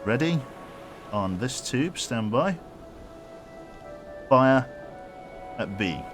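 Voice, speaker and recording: A man streaming a submarine game, calmly giving orders aloud and commenting as he plays. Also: medium pitch, extremely slow. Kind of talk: gameplay commentary. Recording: game music loud behind.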